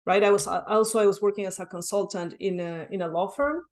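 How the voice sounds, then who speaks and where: A woman's voice: mid-range voice, steady tone, fast, a little mellow. A woman from Mexico with a Mexican Spanish accent, speaking English as a second language, earnestly telling her story as a podcast guest and often adding "right?". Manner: earnest, reflective, frequent "right?" tags. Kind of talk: conversational interview.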